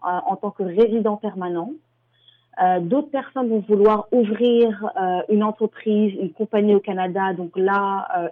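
A woman from France, an immigration lawyer, explaining things as a radio guest with many hesitations. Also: mid-range voice. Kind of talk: interview answers. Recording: tinny phone line.

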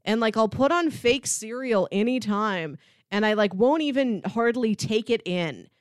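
A woman with a very animated, mid-pitched voice chats as a podcast co-host. She is talkative and playful, in a casual style. The sound is close and dry. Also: unhurried, no room sound. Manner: chatty, playful. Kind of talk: casual podcast chat about media.

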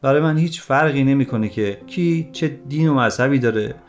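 A man's voice: mid-range voice, natural voice. Male actor for proud, earnest father in an audio story; direct, emphatic delivery, music underneath. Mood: earnest, firm. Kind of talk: dramatized story dialogue.

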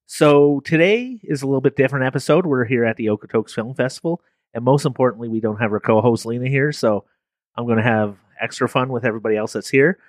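An upbeat man with an average-pitched voice hosts a podcast and interviews guests at a film festival. He laughs often. The sound is dry and close, with no room echo. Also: quick pace. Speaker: podcast host. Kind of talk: casual interview.

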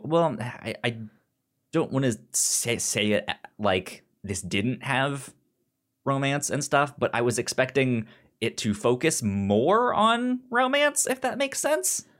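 Slow-paced man, halting and stammering. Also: medium pitch, very animated. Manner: halting, stammering with repeated words. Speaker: podcast co-host. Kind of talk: casual chat reviewing a drama series.

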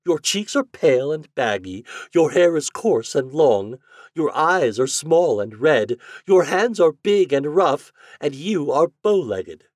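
A man's voice: a little high, big pitch swings, takes it slowly, no echo, clean studio sound, voice pushed up, heavily acted. A man voicing a haughty, vain young society lady in an affectedly sweet, emphatic manner. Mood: disdainful.

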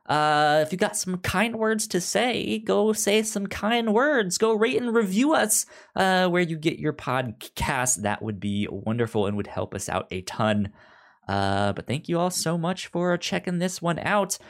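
A man's voice: sits high, animated, measured pace. A man co-hosting a podcast in casual chat, informal and speaking straight to the listeners. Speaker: podcast co-host.

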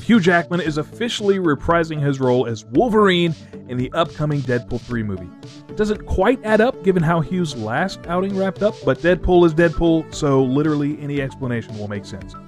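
A man hosting a scripted entertainment news segment, reading as narration. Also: a little high. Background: music.

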